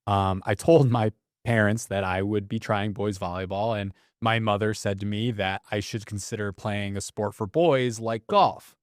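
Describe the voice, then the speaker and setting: Man, interview guest, low-ish voice, lighthearted storyteller, dry close sound. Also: fairly even intonation, moderate pace, hardly any pauses, dry recording. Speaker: boys volleyball advocate.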